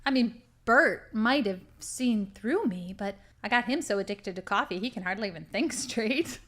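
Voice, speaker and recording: A woman's voice: medium pitch, lively intonation, moderate pace, fairly bright tone. A woman acting a manipulative crime lieutenant who poses as sweet and innocent in an improvised radio drama. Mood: smug, scornful.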